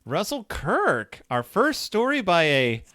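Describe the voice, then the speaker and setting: A man co-hosting a casual book discussion podcast, talking in a halting, rambling way that often interrupts itself. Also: high-pitched, wildly swooping pitch, sharp tone, no room sound, clean studio sound. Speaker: podcast co-host.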